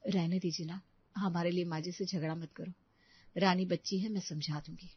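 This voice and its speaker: Lady acting a soft-hearted young mom, soothing, placating, storybook drama, dry dull audio. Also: fairly low pitch, fairly even intonation, moderate pace, breathy edge, no room sound.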